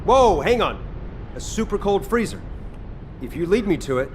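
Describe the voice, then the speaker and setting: A man's voice: sits high, very animated, moderate pace, slight background noise. An actor playing a cocky, exasperated young male soldier in a video game, brash and teasing. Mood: alert.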